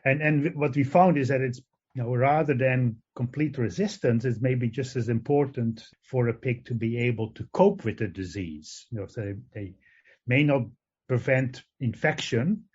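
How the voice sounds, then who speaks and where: Dutch-accented older man, mellow. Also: medium pitch, moderate pace, slightly rough. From Netherlands. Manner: hesitant, many fillers and restarts. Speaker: guest, professor of animal genetics. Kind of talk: interview answers. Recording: dull lo-fi sound.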